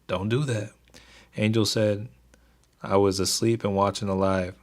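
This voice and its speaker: A young man with a very low voice that stays soothing and even. He hosts a stock trading livestream, chatting loosely with his viewers and reading their comments aloud in a relaxed way. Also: a little slow. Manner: laid-back, keeps delivery even, reads chat aloud. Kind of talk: casual livestream chat with viewers.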